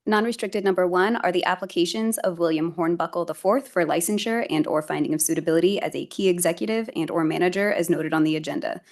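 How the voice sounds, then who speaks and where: Brisk woman reading out formal agenda items. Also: lowish voice, darkish tone. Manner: businesslike. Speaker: meeting secretary.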